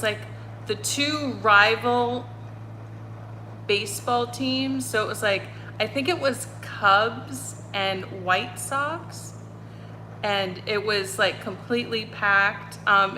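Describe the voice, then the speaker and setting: A woman's voice: mid-range voice, pushed and bright, slight background noise, low-quality recording. A woman with a slight Boston accent, chatting casually with viewers on a livestream from her home, rambling with lots of ums and restarts. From North Andover, Massachusetts. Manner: chatty, rambling, many ums and self-corrections. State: hot and sweaty. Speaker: medium hosting a livestream. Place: apartment with broken air conditioning.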